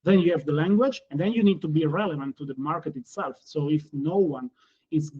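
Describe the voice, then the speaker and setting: A man from Italy with an Italian accent, speaking as a guest on a panel, halting and often correcting himself mid-word. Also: fairly high pitch, dark tone, breathy edge, highs cut off. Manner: halting, frequent self-corrections. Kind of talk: panel discussion.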